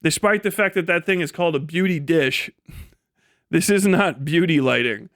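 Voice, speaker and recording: A man's voice: a little high, moderate pace, fairly bright tone, no room sound, studio quality. A man in his late thirties hosting a casual livestream, rambling and swearing freely with a self-deprecating manner. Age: late 30s. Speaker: livestream host.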